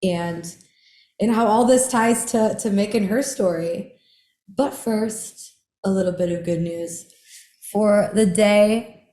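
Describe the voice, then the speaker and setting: A young woman hosts a podcast in relaxed chat, giggling often and filling her talk with little "like"s. Her voice is mellow and soft-edged, and the room around her rings with a roomy echo. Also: mid-range voice. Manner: giggly, chatty, lots of "like". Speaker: podcast host. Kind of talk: casual chat. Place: in person, indoors.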